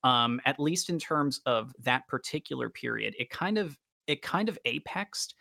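A man talks as a podcast co-host with a forward, bright voice and some gravelly fry. His delivery is enthusiastic but halting, stammering through long, wordy thoughts. The sound is dry and close. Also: mid-range voice, animated, dry recording. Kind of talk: casual podcast discussion.

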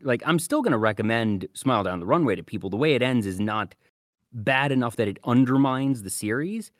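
A man's voice: medium pitch, animated, a little fast, dry recording, clean studio sound. A man hosting a podcast, talking casually and giving his commentary on anime. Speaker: podcast host.

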